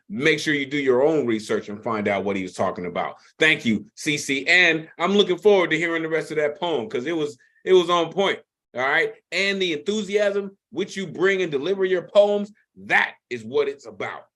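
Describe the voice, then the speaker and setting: Man, slightly high voice, emphatic, slangy, effusive, open mic host, repeats himself for effect. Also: normal speed, a bit bright. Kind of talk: hosting an online poetry open mic. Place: online video call.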